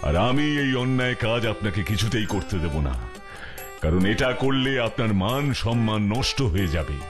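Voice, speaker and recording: A man's voice: fairly low pitch, lively intonation, normal speed, over music, natural voice. A man voicing a brave, righteous superhero detective in a children's cartoon, speaking earnestly and firmly. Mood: firm, reasoning.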